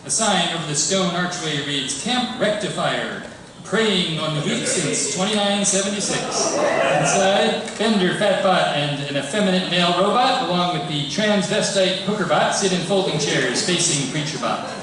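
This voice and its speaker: A man's voice: high-pitched, normal speed. A man reading scripted stage directions aloud at a live table read. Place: live event stage, audience reacting. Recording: echoey hall from far off, loud noise.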